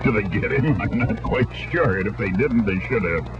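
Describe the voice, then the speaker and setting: Tinny radio recording, low male host, lively intonation, live phone-in. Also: normal speed, loud music behind the voice. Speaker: radio talk show host. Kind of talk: live call-in hosting.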